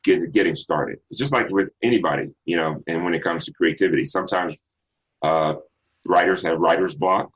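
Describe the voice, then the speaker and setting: A middle-aged man from Texas with a bass baritone voice, a singer answering interview questions in an unhurried, reflective way with many ums and restarts. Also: muffled recording. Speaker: singer guest.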